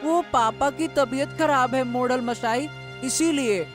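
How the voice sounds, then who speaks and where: A high-voiced, earnest young boy played by a woman speaks politely and caringly, as in a cartoon village tale. Music plays clearly behind the voice. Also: takes it slowly. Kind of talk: Hindi cartoon story dialogue.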